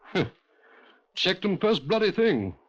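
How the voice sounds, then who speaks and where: Gruff, blunt British country policeman. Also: high-pitched, big pitch swings, slow pace, heavily acted. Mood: gruff, worried. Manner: blunt, clipped, colloquial. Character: gruff, worried village police station superintendent. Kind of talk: 1950s radio drama acting. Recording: muffled vintage 1950s radio recording.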